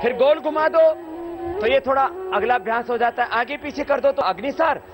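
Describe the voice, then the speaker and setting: A man leading a yoga session for soldiers, demonstrating exercises with lively, folksy humor. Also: extremely high pitch, normal speed, over loud music. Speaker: yoga teacher. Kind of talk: live yoga instruction to soldiers. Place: outdoor army yoga camp.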